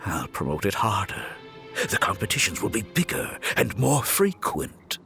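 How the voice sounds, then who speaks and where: A man's voice: on the low side, sing-song, normal speed, creaky, sharp tone, soft background music, full character voice. An actor playing a smug, scheming young male villain in a video game, theatrical and gloating, drawing out his emphasis. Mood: smug menace.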